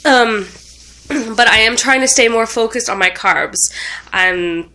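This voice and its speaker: A young woman with a cold talks into her camera, her voice stuffed up and a little tired. Her tone is bright and edgy as she rambles, stops to correct herself and fills gaps with ums. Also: on the high side, takes it slowly. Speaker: vlogger. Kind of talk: casual rambling vlog update.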